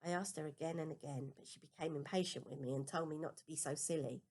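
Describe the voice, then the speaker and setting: Lower-pitched woman reading a storybook aloud. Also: fast, darkish tone. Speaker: amateur audiobook reader. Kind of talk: storybook narration, first-person children's novel read aloud.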